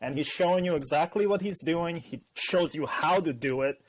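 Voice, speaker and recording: A man's voice: a little high, moderate pace, slight background noise. A man guesting on a podcast, talking casually and animatedly, often acting out what other people say. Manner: animated, acts out quoted voices. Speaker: guest YouTuber.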